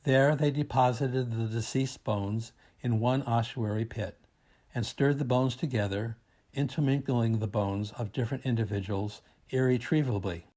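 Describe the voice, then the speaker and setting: A man reading a scripted academic presentation aloud in a formal, measured and deliberate manner. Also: mid-range voice, warm tone. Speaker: academic researcher presenting a paper.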